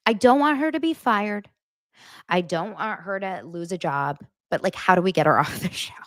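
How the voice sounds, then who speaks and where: A woman's voice: lowish voice, animated, normal speed, no echo, clean studio sound. A woman hosting a daily pop culture show, chatting to her audience in a gossipy, exaggerated way. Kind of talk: casual chat to camera.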